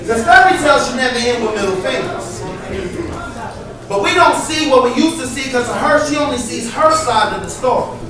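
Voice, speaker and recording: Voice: sits high, lively intonation, brisk, crowd noise. A spoken word poet performing live, with an emphatic, impassioned, rhythmic delivery. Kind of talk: live performance poetry with sung passages. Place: live poetry event before an audience. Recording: echoey live venue, distant mic, audience noise.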